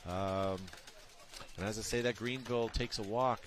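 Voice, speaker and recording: A man's voice: fairly low pitch, fairly even intonation, moderate pace, slight background noise. A man commenting live on a paintball match from a booth, chatty and joking with his co-host.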